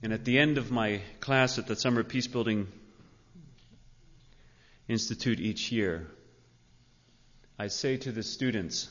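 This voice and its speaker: A man speaking as a pastor to his congregation, earnest and measured, in the style of a church address. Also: lowish voice, a bit bright. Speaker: pastor introducing a guest speaker. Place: large indoor church sanctuary. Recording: reverberant church hall, dull sound.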